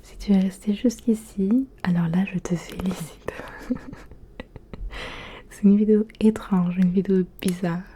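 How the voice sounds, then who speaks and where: A woman whispering softly and closely in ASMR style, asking the listener gentle questions with hesitant pauses. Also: medium pitch, steady tone, slight background noise. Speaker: ASMR creator.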